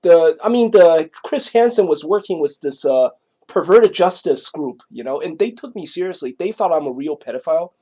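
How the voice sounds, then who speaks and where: A middle-aged man from Taiwan with a Taiwanese accent, telling a personal story casually in a rambling, halting, repetitive way, often checking that the listener follows. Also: a little high, lively intonation, dark tone, breathy edge.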